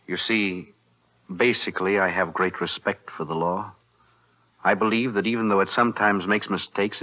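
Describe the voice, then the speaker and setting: An actor playing a shrewd, composed defense attorney, measured and insinuating, in 1940s radio thriller style. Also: male voice, low voice. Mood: coolly pressing. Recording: dull vintage radio recording.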